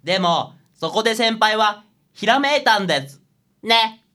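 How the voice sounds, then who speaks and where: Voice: mid-range voice, lively intonation, a little slow, pushed and bright. An actor playing a meek, hapless, polite male junior detective sidekick in a radio drama. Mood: eager.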